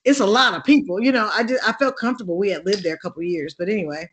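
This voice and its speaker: A woman with a deep contralto voice, telling stories as an interview guest in an animated way, laughing and acting out the voices of people she quotes. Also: rapid-fire, slight background noise. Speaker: actress and singer guest. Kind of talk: lively interview storytelling.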